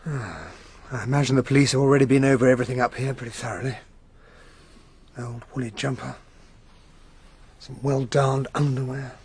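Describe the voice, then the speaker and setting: An actor playing an earnest, loyal, well-bred English gentleman who narrates and talks as a detective's sidekick in a radio drama. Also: medium pitch, steady tone, moderate pace, pauses often, slight background noise, close to an everyday voice. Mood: curious.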